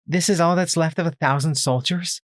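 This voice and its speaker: A man's voice: a little high, steady tone, very fast, no room sound, studio quality, pitched above the performer's own voice, natural voice. A man doing a tough middle-aged mare fighter. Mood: urgent. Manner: urgent, then faint and gasping.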